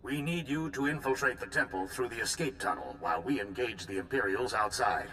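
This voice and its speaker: Game cast: man as a blunt military commander, bright, forceful tone, brisk orders. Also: medium pitch, slight background noise, natural voice. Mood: commanding. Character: male military supreme commander, decisive and blunt. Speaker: supreme commander. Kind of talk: game voice acting.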